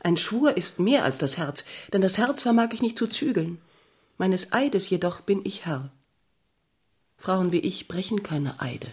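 An actress playing a proud, virtuous young countess in a German radio drama, speaking with formal, emphatic dignity. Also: female voice, lowish voice, lively intonation, unhurried. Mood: solemn resolve.

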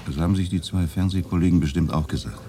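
An actor playing a gruff, wry local man who acts as a reporter's minder, talking in dry, casual mutters and emphatic protests in a naturalistic radio drama. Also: sits low, steady tone, fast talker, dark tone, slight background noise. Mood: confiding.

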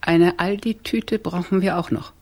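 An actor playing a precise, businesslike older gang leader in a radio crime comedy. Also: male voice, sits high, fairly even intonation, unhurried, slight background noise. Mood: firm, businesslike. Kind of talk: radio drama acting.

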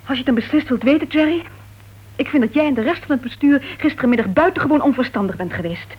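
An actress playing a cool, stubborn club secretary and crime playwright in a Dutch radio play, terse and disapproving. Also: female voice, high voice, lively intonation, fast talker. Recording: dull old radio recording, faint noise.

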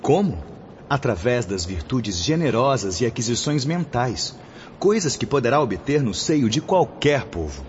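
An actor playing a charismatic, solemn spiritual teacher in a radio drama, preaching formally and eloquently with a Brazilian accent. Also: male voice, medium pitch, sing-song, measured pace. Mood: stern, impassioned. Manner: preachy, formal, oratorical delivery. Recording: dull lo-fi audio with background noise.

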